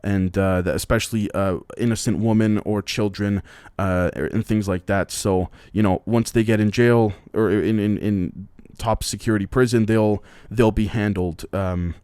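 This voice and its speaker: A man with a fairly low voice runs through the day's headlines on his podcast. He comments on each one bluntly and swears freely, and he often stops and restarts his sentences. The sound is dry and close, with no room around it. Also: steady tone, moderate pace, a little mellow, clear, resonant tone, no room sound. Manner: blunt, profane, rambling with many restarts. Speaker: podcast host. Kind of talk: casual news commentary.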